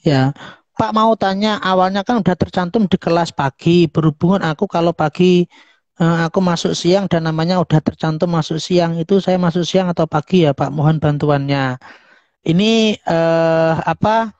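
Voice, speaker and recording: A woman's voice: lowish voice, normal speed, a little mellow, dry recording, highs cut off. A woman with a Javanese accent hosting an informal online question-and-answer session. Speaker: host, university staff member. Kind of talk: informal online live talk and Q&A.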